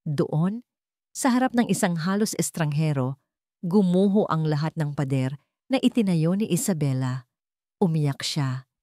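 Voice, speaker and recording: A woman's voice: medium pitch, darkish tone, no echo, studio quality. A woman with a Filipino accent reading a scripted dramatic story as its narrator.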